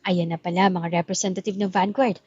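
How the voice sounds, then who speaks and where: A woman's voice: on the low side, fairly even intonation, brisk, a little mellow, no room sound, muffled recording, soft background music, lightly acted. A woman voicing a gossiping business guest in an audio drama, murmuring information. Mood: confiding.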